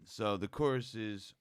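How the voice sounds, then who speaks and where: Man, hoarse, unhurried delivery, rambling casual musician chat, guitar music behind. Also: on the low side, no room sound, studio quality. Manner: rambling, loose, with casual slang asides. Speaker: musician hosting a fan update. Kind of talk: casual late-night chat between songs. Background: guitar playing.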